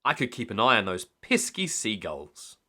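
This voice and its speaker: Man acting blustery older lighthouse keeper, lively pitch. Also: moderate pace, full character voice. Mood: cheerful. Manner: exclaiming, spluttering, grumbling. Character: bored, stubborn older male lighthouse keeper, blustery and dramatic.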